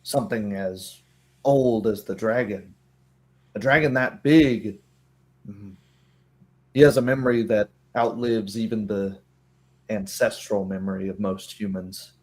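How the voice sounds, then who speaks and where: A man's voice: fairly low pitch, fairly even intonation, unhurried, long pauses. A man narrating a tabletop roleplaying game as its game master.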